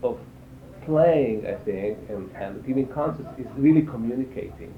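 An older man from Israel giving reflective interview answers, haltingly, repeating phrases as he searches for words. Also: expressive. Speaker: interviewee, cello teacher and performer. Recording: echoey, tinny band-limited sound with faint rumble.

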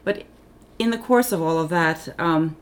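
Low-voiced woman, halting and thoughtful. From Tanzania. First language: Finnish. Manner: thoughtful, halting, many ums and self-corrections. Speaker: interviewee, Africanist scholar. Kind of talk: reflective oral history interview.

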